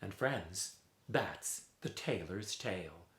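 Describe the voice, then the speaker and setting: Theatrical male storyteller with very lively pitch. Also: echoey room. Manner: dramatic, rhythmic with drawn-out repetitions. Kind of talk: theatrical folk-tale storytelling to listeners.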